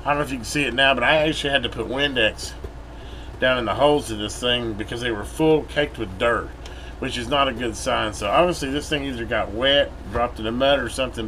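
A man's voice: medium pitch, fairly quick, poor audio. A middle-aged American man giving casual, rambling repair commentary, thinking aloud in a folksy way. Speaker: repair channel host. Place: indoor workbench. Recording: steady room hum, air-conditioner-like noise.

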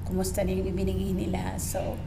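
A middle-aged Filipino woman from Batangas with a Filipino accent, chatting casually as a farm owner in an interview, mixing languages and filling pauses with filler words. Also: mid-range voice, monotone, creaky, music in the background. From Batangas, Philippines. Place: hilltop house lookout room.

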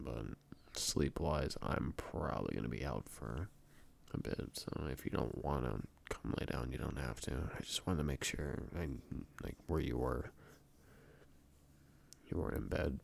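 A man playing a sleepy, affectionate boyfriend in an intimate ASMR roleplay, murmuring tenderly and haltingly in a gravelly, husky morning voice. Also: a bass voice, a little mellow, full character voice. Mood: drowsy, reassuring. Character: sleepy, affectionate boyfriend coaxing partner back to bed.

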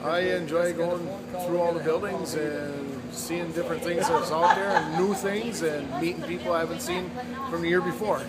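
A man's voice: fairly high pitch, steady tone, normal speed, over loud music. A middle-aged man from Minnesota, a fair visitor, answering plainly with chuckles in a casual vox-pop interview, filmed on a phone held close in a fair building. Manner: plain-spoken, chuckling, rural. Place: indoor fair exhibit building. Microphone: phone camera at arm's length. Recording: loud crowd noise at a fair.

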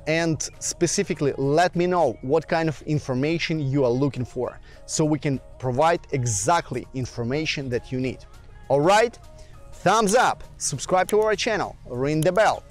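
A man with a slightly high voice gives an eager sales pitch, talking straight to the viewer as a travel channel host. Soft music plays faintly beneath him. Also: animated, measured pace. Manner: salesy, upbeat, addresses viewers directly. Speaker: villa rental promoter and channel host. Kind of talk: promotional video narration.

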